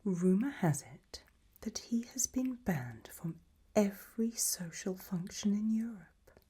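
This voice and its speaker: A woman playing an elegant, macabre gothic matriarch hosting in a soft, breathy ASMR whisper, genteel and darkly droll. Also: lowish voice, exaggerated voice.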